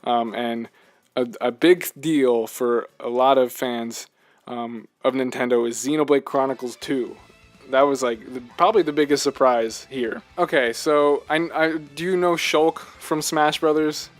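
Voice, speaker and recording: A man's voice: medium pitch, fairly even intonation, a little slow, faint music behind. A man co-hosting a casual podcast discussion about games, talking in an emphatic, enthusiastic fan's way. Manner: enthusiastic, emphatic fan talk. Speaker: podcast co-host. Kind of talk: casual video game discussion.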